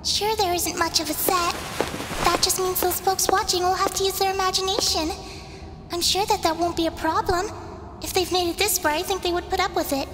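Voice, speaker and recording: A very high-pitched, taunting little schoolgirl. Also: fairly even intonation, a little fast, noisy background. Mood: teasing welcome. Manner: mocking, sing-song childish name-calling. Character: taunting little schoolgirl, bratty and scornful, on a stage. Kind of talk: radio play acting. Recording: long hall reverb, music behind.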